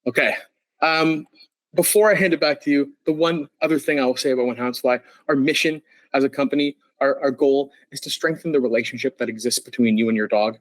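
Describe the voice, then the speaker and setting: A man on a video call presents a webinar in a chatty, self-deprecating way, stumbling over a word now and then. His voice is a little stuffy from allergies and sits at an ordinary middle pitch. The sound is dry and close, with no room echo. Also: fairly even intonation, brisk. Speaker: dog trainer presenting a webinar.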